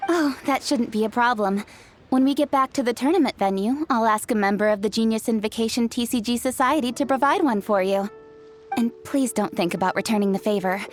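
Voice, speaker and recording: Woman doing a poised young noble lady from a game, formal, gracious, highish voice, music behind. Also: fairly quick, close to an everyday voice. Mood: generous. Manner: polite, self-assured, formal. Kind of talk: video game character acting.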